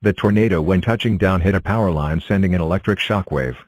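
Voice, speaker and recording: A man reading scripted emergency weather alerts as a broadcast announcer, in a formal, impersonal and measured manner. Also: sits low, no echo, clean studio sound.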